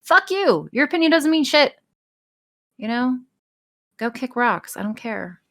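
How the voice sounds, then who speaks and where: A woman's voice: high voice, big pitch swings, unhurried, fairly bright tone. A woman chatting casually as a podcast guest, blunt and emphatic, swearing freely. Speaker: podcast guest, audio roleplay artist. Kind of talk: casual, profane interview chat.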